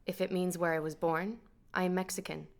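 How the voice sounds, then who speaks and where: A young woman from Texas giving a reflective, searching monologue with a quietly wry edge. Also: fairly low pitch, level tone, brisk. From Texas, United States. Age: young adult. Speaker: interviewee in a video installation.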